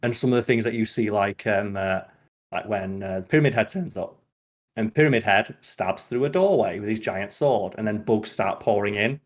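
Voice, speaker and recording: A man hosting a podcast, chatting casually and retelling scenes in an animated, rambling way full of filler "like". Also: lowish voice, normal speed. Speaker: podcast host. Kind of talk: casual podcast chat about films.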